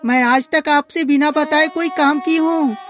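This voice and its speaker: A woman's voice: high voice, fairly even intonation, unhurried, fairly bright tone, lo-fi sound, soft background music, voice pushed up. A woman voicing a wronged, indignant young wife who protests earnestly in a melodramatic cartoon moral story.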